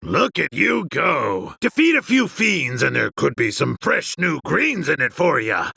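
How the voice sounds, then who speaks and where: An actor playing a shady, goading host of underground beast fights in a video game, with a gravelly rasp and a folksy, teasing way of talking. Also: male voice, fairly high pitch, sing-song, measured pace, pushed and bright, a little hiss or hum, exaggerated voice. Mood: teasing, sly. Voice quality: gravelly, raspy. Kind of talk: scripted video game dialogue.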